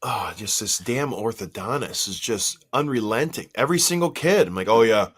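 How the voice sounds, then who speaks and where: A man in his forties hosting a hockey podcast, slightly hoarse, telling self-mocking stories in a casual, animated way. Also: medium pitch, normal speed. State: a little hoarse. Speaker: podcast host.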